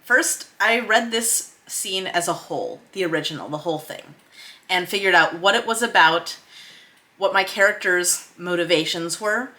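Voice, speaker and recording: A woman's voice: lowish voice, moderate pace, pushed and bright. A woman hosting a filmmaking vlog, explaining her process in a chatty way with emphasis on key words. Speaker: host of a filmmaking vlog series. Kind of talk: explanatory vlog to camera with screen share.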